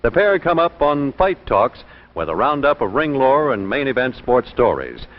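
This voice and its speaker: A man's voice: medium pitch, big pitch swings, normal speed. A man with an American accent reading scripted promotional announcements in 1950s broadcast style. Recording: muffled vintage broadcast.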